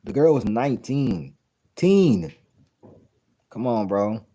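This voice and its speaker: A man's voice: mid-range voice, big pitch swings, slow, mellow. A man in his thirties giving blunt, profane, incredulous commentary while reacting to a clip, often repeating his points. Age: 30s. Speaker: reaction video host.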